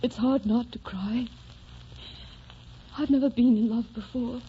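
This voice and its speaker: A woman's voice: a little high, steady tone, a little slow, slight background noise, natural voice. An actress playing a tender, sorrowfully resigned young woman in love, in earnest, restrained old-time radio melodrama. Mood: anguished love. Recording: dull vintage radio recording with music.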